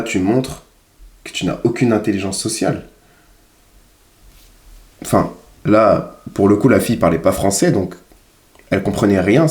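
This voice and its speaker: A man with a fairly low voice speaks in a very roomy, echoing space. He gives casual critical commentary like a coach, repeating phrases for emphasis. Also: a little mellow. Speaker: dating coach reviewing footage.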